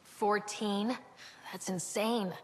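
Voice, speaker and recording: A woman's voice: medium pitch, fairly even intonation, very slow, breathy edge. A woman playing a frank, eager young space explorer in a video game, with a casual, direct delivery. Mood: curious.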